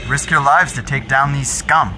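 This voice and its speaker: A man's voice: medium pitch, moderate pace, over music, close to an everyday voice. A man playing an obliging, matter-of-fact clean-up worker in a science fiction radio drama. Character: obliging, plain-spoken male futuristic clean-up worker.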